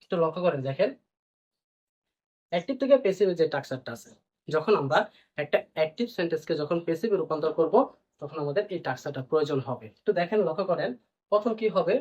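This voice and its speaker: A man's voice: a little high, expressive, fast, a little mellow. Dull lo-fi audio, man, didactic grammar teacher, repetitive step-by-step explaining, rhetorical questions. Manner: didactic, repeats points and asks then answers his own questions. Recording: dull, lo-fi band-limited sound.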